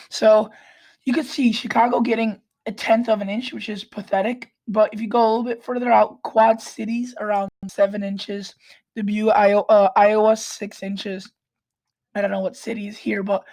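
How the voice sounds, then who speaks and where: Hoarse, raspy woman with a cold; level, steady weather explainer, clears her throat often. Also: mid-range voice, poor audio. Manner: frequent restarts and throat clearing. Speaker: weather vlogger. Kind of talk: casual weather forecast walkthrough.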